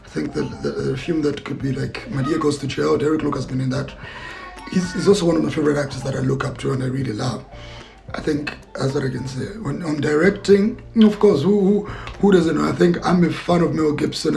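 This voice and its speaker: A man's voice: a little high, fairly even intonation, normal speed, gravelly, darkish tone, faint music behind. A man answering interview questions as an actor and filmmaker, reflective and searching for his words. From Zambia.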